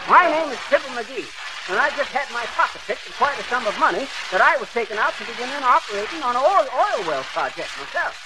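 A man's voice: very animated, quick pace. Stammering folksy man, noisy old radio. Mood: wheedling. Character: hapless bumbling married man, embarrassed, fast-excusing schemer. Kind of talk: 1930s-40s radio comedy acting. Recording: noisy old radio recording, vehicle rumble.

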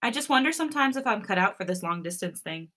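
A woman's voice: mid-range voice, expressive, quick pace, sharp tone. A woman playing a lovesick, vulnerable young woman student on a phone call, candid and wistful, in a romance story. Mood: sad, honest.

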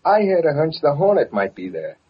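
An actor playing a breezy, wisecracking, eager newspaper reporter in an old radio drama. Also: male voice, a little high, huge pitch swings, a little fast, husky, exaggerated voice. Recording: muffled, noisy vintage radio recording.

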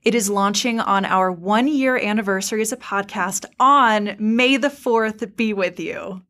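A woman's voice: medium pitch, a little slow, a bit bright. Female podcast co-host, mid-range voice, articulate, effusive, conversational hosting read.

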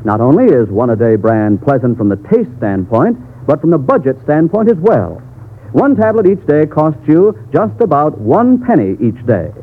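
A man's voice: a little high, big pitch swings, normal speed, slightly rough, phone-quality sound. An American man reading a scripted 1940s radio advertisement, earnest and persuasive. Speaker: commercial announcer. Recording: thin, band-limited vintage radio broadcast.

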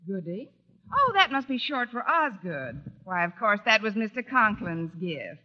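An actress playing a wry, quick-witted schoolteacher, wisecracking drily in an old-time radio comedy. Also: female voice, mid-range voice, very animated. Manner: dry, wisecracking. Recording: muffled vintage radio broadcast.

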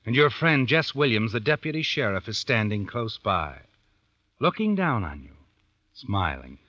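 An actor playing an all-knowing, sinister narrator in an old radio suspense drama, ominous and insinuating. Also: male voice, on the low side, big pitch swings, normal speed, sharp tone, no echo. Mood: insinuating, suspenseful. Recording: muffled vintage radio recording.

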